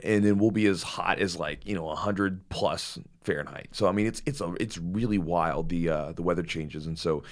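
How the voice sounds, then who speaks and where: A slightly hoarse man hosting a podcast, chatting casually and rambling with lots of fillers. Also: sits low, moderate pace, hardly any pauses. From Louisville, Kentucky. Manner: rambling, animated storytelling with many fillers. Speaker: podcast host.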